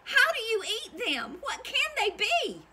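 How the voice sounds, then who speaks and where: A woman voicing puzzled, excitable storybook townspeople, exclaiming and questioning. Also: extremely high pitch, measured pace, slight background noise, pitched above the performer's own voice, full character voice. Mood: baffled.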